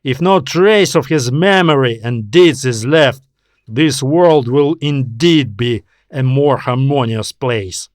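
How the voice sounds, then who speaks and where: A man playing a bitter, defiant man with a forceful, scornful delivery in a science fiction story. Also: mid-range voice, slow pace, no room sound, clean studio sound, close to an everyday voice. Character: bitter, defiant man, furious at his dead father.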